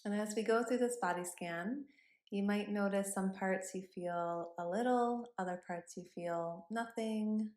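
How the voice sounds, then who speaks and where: A woman yoga instructor leading a body scan meditation in a gentle, instructive manner with pauses between cues. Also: mid-range voice. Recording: roomy, echoing sound.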